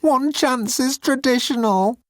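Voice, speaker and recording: A man voicing a timid, pleading young alien officer with a squeaky, flustered voice in a sci-fi audiobook. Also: a little slow, no echo, studio quality. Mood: miserable, pleading. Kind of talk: audiobook character acting.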